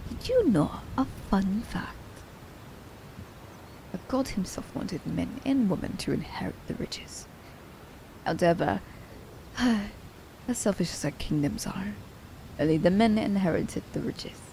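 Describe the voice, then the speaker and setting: A woman playing a cunning, haughty immortal assassin in an ASMR audio drama, speaking in theatrical, formal diction, breathy and often dropping toward a whisper. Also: lowish voice, mellow, a little hiss or hum, low-quality recording. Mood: scheming, disdainful. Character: immortal female assassin, cunning, teasing, haughty, romancing her captive.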